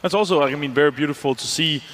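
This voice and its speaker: A man's voice: on the high side, racing, fairly bright tone, big-room sound. A man as an esports desk analyst, enthusiastic with loose phrasing.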